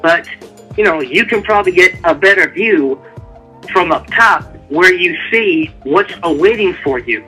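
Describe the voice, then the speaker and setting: A man's voice: high voice, expressive, a little slow, pushed and bright, faint music behind, poor audio. A man speaking as an author guest in a casual interview, earnest and motivational.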